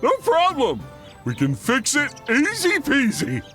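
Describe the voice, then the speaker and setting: A man's voice: very high-pitched, huge pitch swings, unhurried, pushed and bright, soft background music, exaggerated voice. A man voicing a dim-witted, happy-go-lucky starfish sidekick in a cartoon game, with a thick, goofy voice and a childlike, oblivious manner. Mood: carefree, eager. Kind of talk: animated cartoon game voice acting.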